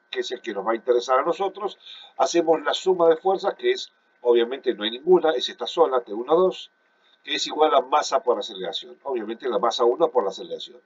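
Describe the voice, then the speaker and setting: Guy with a cold lecturing over pc mic, slightly hoarse, bit muffled sound. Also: medium pitch. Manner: steady explanatory, many fillers and restarts. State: congested with a cough. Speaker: physics teacher. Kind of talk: recorded online class lecture. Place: at home at a computer.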